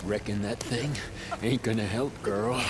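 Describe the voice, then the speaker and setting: An actor playing a sadistic, swaggering brute of a villain in a video game, with a taunting, folksy drawl. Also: male voice, on the low side, steady tone, measured pace, heavy background noise, natural voice. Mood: mocking menace. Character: sadistic, swaggering male brute villain who relishes killing. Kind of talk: cinematic video game acting.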